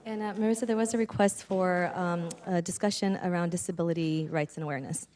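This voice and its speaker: A woman's voice: on the low side, fairly even intonation, fast talker, a little mellow. A woman hosting a community meeting who talks to the room in a friendly, informal way and keeps things moving. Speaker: event emcee.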